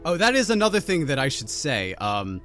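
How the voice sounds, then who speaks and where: A man narrating a tabletop role-playing game as game master, describing scenes in a relaxed, vivid way. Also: a little high, brisk, soft background music.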